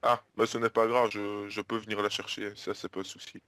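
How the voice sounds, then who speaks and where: A man's voice: fairly low pitch, flat delivery, a little fast, a bit bright, dry recording. A man making a prank phone call, giving brief, formally polite replies. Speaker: prank caller.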